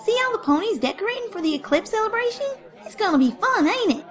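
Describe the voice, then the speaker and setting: Squeaky, little filly. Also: female voice, big pitch swings, fast talker. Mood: cheerful, eager. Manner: rambling, enthusiastic. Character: eager, chatty little country filly, younger sister. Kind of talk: animated fan audio drama.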